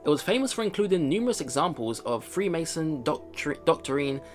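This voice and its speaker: A man's voice: fairly high pitch, very animated, a little fast. A man reading a visual novel aloud and commenting as he plays, thinking aloud in a chatty way. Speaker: let's player. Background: visual novel game music.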